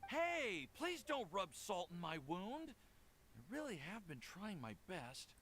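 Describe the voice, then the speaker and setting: A man's voice: high voice, very animated, takes it slowly, fairly bright tone, faint music behind, exaggerated voice. A man voicing a hapless, lovestruck young man in a video game, stammering, pleading and apologetic. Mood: sheepish. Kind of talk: animated video game voice acting.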